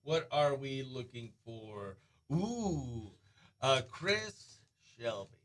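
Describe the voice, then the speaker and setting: A man hosting a radio show in a breezy, excitable way, chatting and calling out greetings to viewers. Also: medium pitch, very slow. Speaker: radio host.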